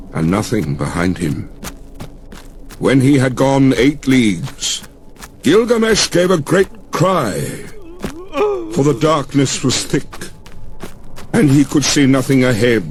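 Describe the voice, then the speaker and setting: Expressive, slow-paced male epic narrator. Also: medium pitch, a little hiss or hum. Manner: solemn, storytelling, deliberate phrasing. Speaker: audiobook narrator. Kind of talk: dramatized scripted narration. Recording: music behind the voice.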